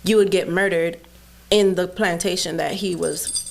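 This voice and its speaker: A young woman with a lowish voice, her first language Spanish, speaks as a guest on a casual podcast. She talks candidly and reflectively, laughing often as she goes. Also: fairly even intonation, moderate pace, vocal fry. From United States, Dominican family. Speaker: artist guest.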